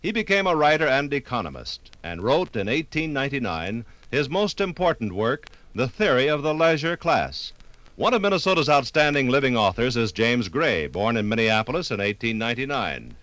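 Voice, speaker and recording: American guy narrating old 50s radio, lively pitch, formal, dry close sound. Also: normal speed, dry recording. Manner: formal, informative. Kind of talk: 1950s radio scripted narration. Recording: 1950s radio LP recording.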